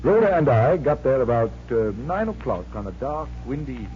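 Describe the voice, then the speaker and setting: An actor in a 1940s radio mystery playing a genial, self-assured and skeptical gentleman magician detective. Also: mid-range voice, big pitch swings, a little fast, lightly acted. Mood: genial storytelling. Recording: dull, noisy 1940s radio recording.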